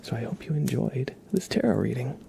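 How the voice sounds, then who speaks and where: A man hosting an ASMR livestream, speaking gently and unhurriedly in a breathy whisper with some gravel in it. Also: medium pitch, big pitch swings, heavy background noise. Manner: soft-spoken, gentle, unhurried. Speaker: ASMR live streamer and host.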